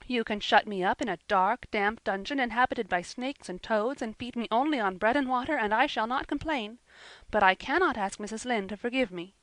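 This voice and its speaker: A woman's voice: fairly high pitch, brisk, a bit bright, no echo, voice pushed up, natural voice, low-quality recording. A woman voicing a passionate, stubborn young orphan girl in an audiobook, with dramatic, pleading delivery. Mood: defiant. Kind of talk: audiobook character voice.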